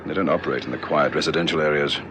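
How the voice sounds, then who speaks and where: An actor playing a gruff, commanding detective sergeant in a vintage radio drama. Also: male voice, rumbling low, steady tone, very fast. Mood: watchful, calm. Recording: old radio recording, vehicle noise.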